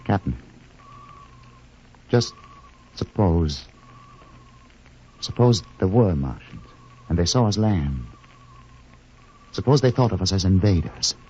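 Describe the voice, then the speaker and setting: A grave middle-aged doctor in a vintage radio drama. His voice is very husky and swings dramatically in pitch, with brooding pauses. The old recording is dull and boxy. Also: big pitch swings, warm tone, exaggerated voice. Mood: brooding suspicion. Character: grave, haunted middle-aged male doctor on a space crew. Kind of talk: 1950s radio drama acting.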